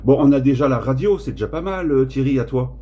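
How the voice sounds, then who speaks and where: A man chatting casually as an amateur radio operator, talking in a rambling, hesitant, friendly-paced French radio-contact style with a husky voice. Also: medium pitch, lively intonation, fast talker, mellow, highs cut off, a little hiss or hum.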